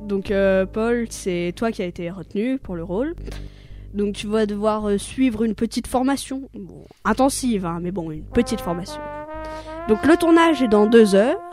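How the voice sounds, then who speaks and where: A woman's voice: mid-range voice, normal speed, over music, close to an everyday voice. A woman playing a rambling production coordinator. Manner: rambling, full of fillers like "euh" and "hein". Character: hurried female production coordinator briefing an actor. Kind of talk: amateur radio play acting.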